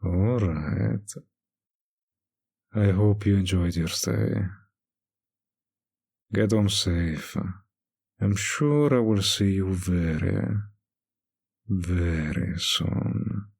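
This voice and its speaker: A man playing a seductive, possessive vampire barista in an intimate roleplay audio, speaking in a husky, gravelly, teasing drawl with soft pauses. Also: low-pitched, full character voice.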